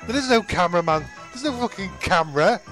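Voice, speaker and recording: A man with a high-pitched voice performs improvised comedy. He whinges and swears in rambling protest, with faint music behind him. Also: expressive, fast talker, a bit bright. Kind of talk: improvised comedy role-play.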